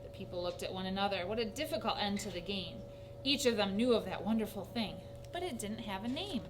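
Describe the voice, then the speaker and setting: A woman reading a rhyming children's story aloud in an animated, theatrical way. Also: medium pitch, brisk. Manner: animated, theatrical with character voices. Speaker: storybook reader. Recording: noisy recording with steady hum.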